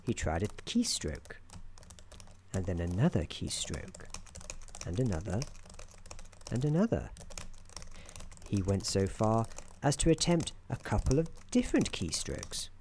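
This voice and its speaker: A soft-spoken man giving a scripted ASMR roleplay narration in a dryly wry, deliberate storybook manner. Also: fairly low pitch, sing-song. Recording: keyboard typing and clicking noise. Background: keyboard typing, clicks.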